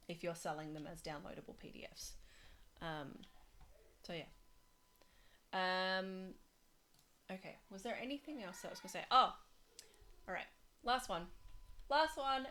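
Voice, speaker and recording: A woman teaching design software in a casual, rambling way, full of fillers and restarts, her voice going hoarse. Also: mid-range voice. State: losing her voice. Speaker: design tutor. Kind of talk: casual screen-share tutorial.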